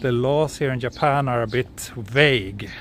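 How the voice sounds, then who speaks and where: A man's voice: mid-range voice, unhurried, slight background noise. Swedish man vlogging a city walk. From Sweden. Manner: relaxed, answers viewer chat while walking. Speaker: vlogger walking through a city. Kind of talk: live-streamed walking tour. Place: outdoors on city streets.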